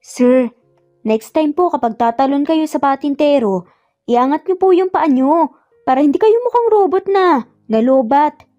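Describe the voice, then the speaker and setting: A woman's voice: sits high, animated, unhurried, dark tone, muffled recording, faint music behind, voice pushed up. A Filipino woman voicing a cheeky young nanny who teases with playful exclamations in a story. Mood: teasing. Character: cheeky, teasing young nanny talking back to boss.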